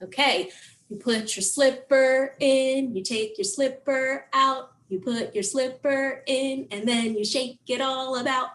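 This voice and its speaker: Woman, children's library presenter, fairly high voice, unhurried delivery, simple encouraging talk for little kids. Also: fairly even intonation, a bit bright. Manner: simple, encouraging explanations aimed at young children. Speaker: children's library program host. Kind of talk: preschool story time, songs and book talk.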